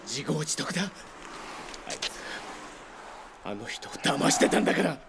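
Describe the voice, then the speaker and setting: A man's voice: fairly high pitch, sing-song, moderate pace, creaky, heavy background noise. An actor playing a frantic young male stalker who pleads and breaks into laughter in a radio drama.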